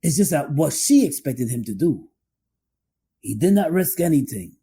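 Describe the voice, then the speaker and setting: A man co-hosting a podcast, discussing relationships conversationally in a halting way, often repeating his phrases. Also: a little high, big pitch swings, warm tone, husky. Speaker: podcast co-host.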